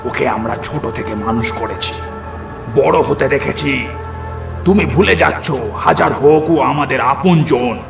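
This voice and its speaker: A man voicing a protective, anxious ghostly uncle in a horror cartoon, speaking earnestly and deliberately. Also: mid-range voice, gravelly. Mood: urgent protest. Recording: echoey voice under loud music.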